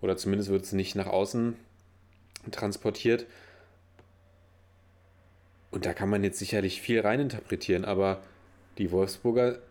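A man's voice: on the low side, fairly even intonation, normal speed, long pauses, darkish tone. A man chatting casually about football, pushing back and asking pointed questions.

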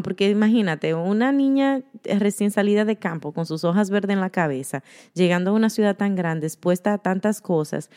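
A woman's voice: on the low side, mellow. A woman in her thirties speaking openly in casual conversation, laughing often and dropping English words into her speech.

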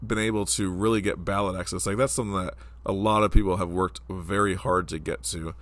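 A man's voice: sits low, steady tone, brisk, a bit bright, no room sound. A man in his forties hosting a casual solo podcast, talking in a rambling, conversational way with lots of fillers, his voice stuffed up from a cold. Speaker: podcast host.

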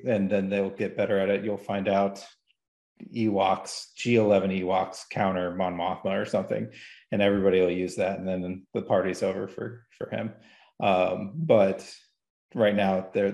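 A man with a fairly low voice speaks as a guest data analyst on a gaming show. He explains technical details casually, halting and regrouping as he thinks. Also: vocal fry, darkish tone, reverberant.

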